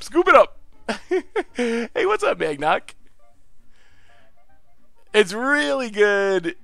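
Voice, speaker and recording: High, lively, slightly congested man. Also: clean tone, no echo. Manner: excitable, laughs often, cheers at wins. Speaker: card game streamer. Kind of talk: casual live-stream gameplay commentary.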